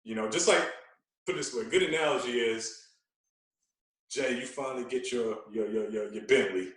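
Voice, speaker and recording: A man talking as a podcast guest, explaining things in an earnest, deliberate, conversational way with emphatic repetition. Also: medium pitch, a bit bright. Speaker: podcast guest, radio DJ and fitness coach. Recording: roomy echo, distant from mic.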